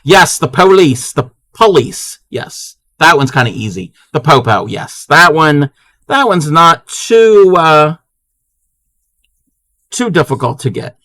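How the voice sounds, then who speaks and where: A man with a fairly high, bright, forceful voice, talking slowly and loosely to his live chat. He breaks off and restarts his sentences as he crafts. The sound is dry and close. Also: sing-song, no echo. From Maryland, USA. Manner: chatty, answers chat, many restarts. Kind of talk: casual live-stream chat.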